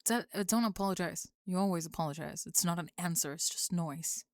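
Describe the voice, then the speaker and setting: Woman playing a low-voiced, curt bookworm bully. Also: fairly quick, no echo, clean studio sound, natural voice. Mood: irritated, scolding. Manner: cold, scolding, clipped. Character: curt, bossy bookworm bully, a possessive female student. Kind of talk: ASMR roleplay acting.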